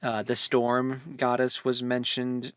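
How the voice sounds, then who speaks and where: A man's voice: mid-range voice, completely flat delivery, slow pace, a bit bright, clean tone, no echo. A man co-hosting a casual book discussion, speaking informally with jokey, slangy phrasing. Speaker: podcast co-host.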